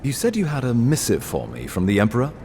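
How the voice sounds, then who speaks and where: An actor playing a grave, courtly imperial ambassador in a video game, speaking formally and deliberately. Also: male voice, medium pitch, huge pitch swings, a little mellow, faint music behind. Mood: composed, formal. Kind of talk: scripted video game dialogue.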